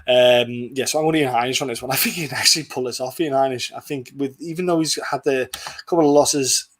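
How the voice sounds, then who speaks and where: A man's voice: mid-range voice, steady tone, fast. Blunt man chatting about fights. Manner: blunt, rambling, swears freely. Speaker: podcast host. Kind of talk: casual sports prediction chat.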